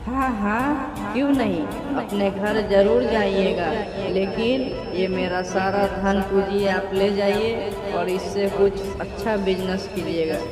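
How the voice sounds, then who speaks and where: A woman voicing a wronged young woman's vengeful ghost in a cartoon horror story, dramatic and deliberate. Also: mid-range voice, steady tone, roomy sound, in a large hall, loud music behind the voice, over a noisy crowd, lightly acted. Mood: gentle. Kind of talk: Hindi cartoon horror dialogue.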